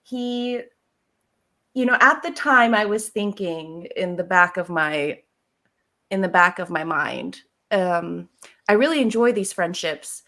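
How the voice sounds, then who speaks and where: Animated American woman telling personal stories. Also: lowish voice, slow pace. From Seattle area, United States. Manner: animated, emphatic, jokey asides with acted-out quotes. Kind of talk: casual conversational storytelling.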